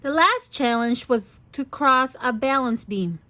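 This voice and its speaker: Female storyteller for small kids, expressive and unhurried, on the higher side. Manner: expressive, addressing young children. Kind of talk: children's read-aloud narration.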